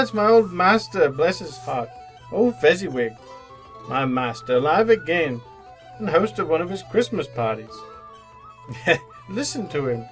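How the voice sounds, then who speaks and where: An actor playing an old miser shaken by remorse and wistful joy, in old-time radio drama style, speaking haltingly and repeating words. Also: male voice, on the high side, fairly bright tone, poor audio. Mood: delighted, nostalgic.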